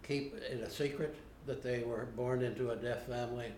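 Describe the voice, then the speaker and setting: Older guy telling old stories slow, lots of uhs, echoey room, faint hiss. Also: mid-range voice, steady tone, a little mellow. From United States. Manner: unhurried, plainspoken, frequent uh pauses. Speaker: interviewee. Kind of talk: unscripted recollection. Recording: roomy home video recording.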